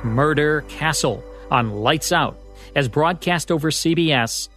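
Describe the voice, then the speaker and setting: A man's voice: on the high side, measured pace, a bit bright, soft background music. A man hosting a radio history podcast with polished, scripted, presentational narration. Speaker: podcast host.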